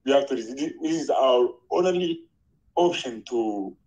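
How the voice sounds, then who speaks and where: A man from Ethiopia calls into a live stream and speaks English, which is not his first language. He talks hesitantly, pausing often and groping for words. His voice has a middling pitch. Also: expressive, darkish tone, lo-fi sound. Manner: hesitant, searching for words, many fillers. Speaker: caller. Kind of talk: live call-in.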